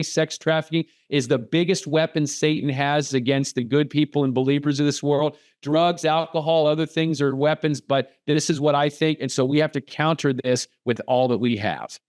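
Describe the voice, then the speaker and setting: Guy on a podcast call firing off rhetorical questions, kinda high voice, dry. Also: steady tone, moderate pace, few pauses, no echo. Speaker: podcast guest. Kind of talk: impassioned call to action.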